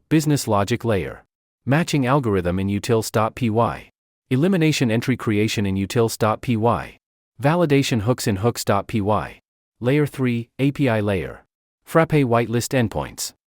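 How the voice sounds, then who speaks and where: A man narrates a software walkthrough from a script. His mid-pitched voice moves with lively intonation through short, clipped, list-like phrases. The sound is dry, free of room reflections. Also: normal speed, no echo. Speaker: software demo presenter.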